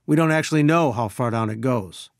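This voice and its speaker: An actor as an earnest, deep-voiced male scientist. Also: fairly quick, gravelly, no room sound, studio quality, natural voice. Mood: friendly, businesslike. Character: earnest brainy male scientist, weary resistance leader. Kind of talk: video game mission briefing lines.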